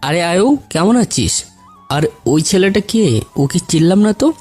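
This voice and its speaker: A man's voice: sits high, very animated, a little slow, a little mellow, heavily acted. A man playing a friendly, doting big-brother figure in an audio story, talking in a casual, affectionate way. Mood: welcoming. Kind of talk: audio story drama acting. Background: background music.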